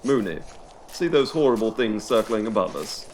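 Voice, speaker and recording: A man's voice: on the low side, sing-song, moderate pace, a little hiss or hum. A man playing a cocky, teasing schoolboy wizard with flippant, mock-posh banter in an audio drama.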